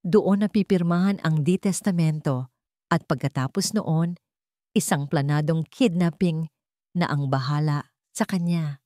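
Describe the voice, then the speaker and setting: A woman's voice: sits low, a little slow, dark tone, no echo. Woman acting out scheming female story villain, Filipino accent, cold, scornful, calculating, dry recording.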